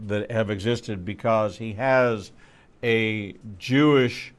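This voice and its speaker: A low-voiced man hosts an interview, asking his questions at a very slow pace. He halts and repeats words as he gathers his thoughts. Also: smooth. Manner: slow, halting, repeats words while searching. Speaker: rabbi host.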